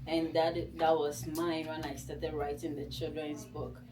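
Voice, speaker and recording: A woman's voice: deep voice, level tone, a little slow. A woman with a Ghanaian accent and Nzema as her native language gives an informal personal talk as a guest author, in a conversational storytelling way. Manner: conversational storytelling, voices her own past thoughts. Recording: distant mic in a room.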